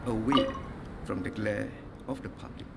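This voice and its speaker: A man giving a formal parliamentary speech, with emphatic, deliberate phrasing. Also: on the low side, gravelly, heavy background noise. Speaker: member of parliament. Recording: loud music over parliamentary broadcast.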